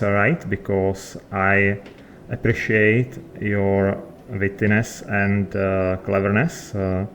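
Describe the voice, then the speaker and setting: A man's voice: lowish voice, highs cut off, a little hiss or hum. A man with a non-native English accent chatting unscripted in character in a video game, hesitant and searching for words. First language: not English. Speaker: game player.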